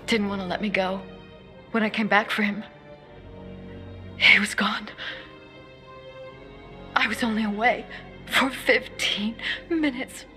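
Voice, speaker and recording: A woman's voice: mid-range voice, pushed and bright. Earnest woman survivor, music behind. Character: grieving, determined woman survivor in a post-apocalyptic world. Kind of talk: video game cutscene acting.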